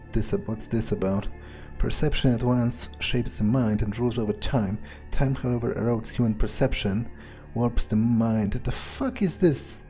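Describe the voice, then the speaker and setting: Slow, mellow man musing through a game. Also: mid-range voice. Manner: musing, sardonic, profane. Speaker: video game player, roleplaying in character. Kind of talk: in-character playthrough commentary, thinking aloud.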